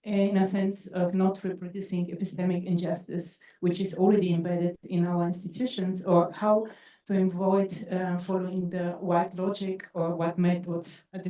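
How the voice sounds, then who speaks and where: Soft distant woman from Bulgaria. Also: mid-range voice. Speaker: researcher and panelist. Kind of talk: panel discussion. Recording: distant echoey mic.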